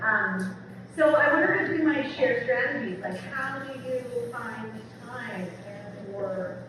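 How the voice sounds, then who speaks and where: A woman professor giving an informal, enthusiastic book talk to an audience, chatty, often adding "like" and "right?". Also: mid-range voice. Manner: conversational, peppered with "like" and "right?", enthusiastic. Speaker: speaker, English professor. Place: event room with an audience. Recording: very echoey hall, distant mic, noisy.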